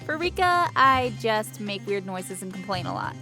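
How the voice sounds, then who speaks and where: A woman's voice: mid-range voice, very animated, moderate pace, over music. A woman who does amateur voice acting, giving casual answers to fan questions. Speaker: amateur voice actor in an abridged series.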